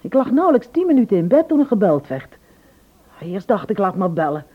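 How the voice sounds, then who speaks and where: An actress playing an earnest, polite sister in a radio play. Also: female voice, medium pitch, sing-song, fairly quick, breathy edge. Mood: uneasy, confiding. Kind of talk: Dutch radio drama acting. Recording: muffled old radio play recording.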